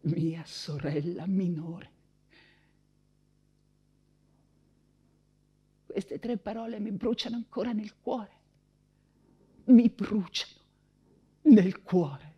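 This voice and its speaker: An actress playing an anguished, proud woman writer in wartime Paris, in a theatrical monologue with halting, insistent repetitions. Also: medium pitch, slightly rough, highs cut off.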